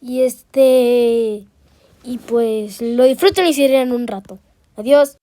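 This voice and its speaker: A woman speaks casually, introducing a video as its creator. Her voice sits very high, yet the tone is dark and mellow rather than sharp. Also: a little slow.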